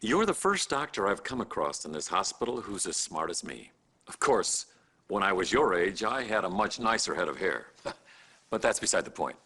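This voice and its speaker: Pompous middle-aged chief doctor, self-satisfied, lowish voice with very animated pitch. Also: male voice, normal speed, breathy edge, full character voice. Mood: smug, boastful. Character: smug, vain, lazy hospital chief of staff. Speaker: actor in game cutscenes. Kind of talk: live-action video game cutscene acting.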